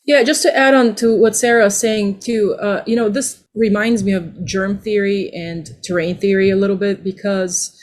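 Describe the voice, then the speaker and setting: A woman speaking as a panelist in a casual discussion, animated and laughing between her points. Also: medium pitch, moderate pace, few pauses.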